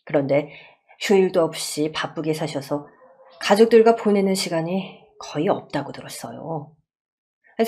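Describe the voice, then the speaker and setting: A woman's voice: deep voice, warm tone. A woman voicing a grateful, courteous elderly male company boss in a radio story, formal and gracious.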